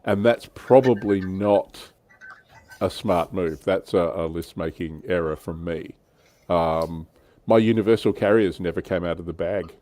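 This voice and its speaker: Low-voiced man in casual hobby chat. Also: a little slow, mellow. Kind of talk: casual chat, recounting tabletop wargame battles.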